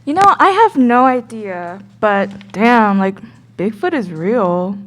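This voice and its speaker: A young woman acting as an easily scared myth geek, telling her story in a casual, halting, earnest way. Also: mid-range voice, animated. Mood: bemused. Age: young adult. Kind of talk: scripted radio play storytelling.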